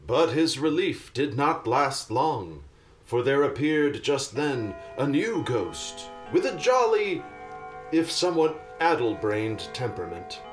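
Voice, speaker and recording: A man's voice: medium pitch, very animated, slow. A man narrating a radio play like a storybook, with dramatic, mock-literary delivery. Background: music.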